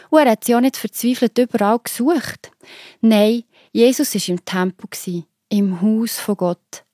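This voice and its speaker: A woman's voice: medium pitch, darkish tone, no room sound, clean studio sound. A woman with a Swiss German accent telling a story to children, explaining it simply and expressively. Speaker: narrator. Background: music.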